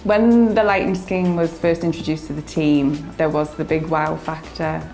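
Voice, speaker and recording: Low, mellow, even-toned woman. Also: normal speed, faint music behind, poor audio. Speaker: representative of a business centre. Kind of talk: interview testimonial.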